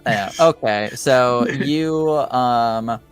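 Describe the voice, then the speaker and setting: A man improvising narration as game master of a tabletop roleplaying game, with halting, drawn-out hesitations. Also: medium pitch, music in the background.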